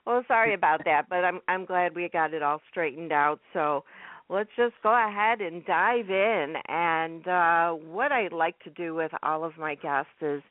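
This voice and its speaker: A woman hosting a live radio interview from Chicago, chatty and quick to laugh. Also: fairly low pitch, no echo. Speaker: radio host. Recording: dry, tinny phone line.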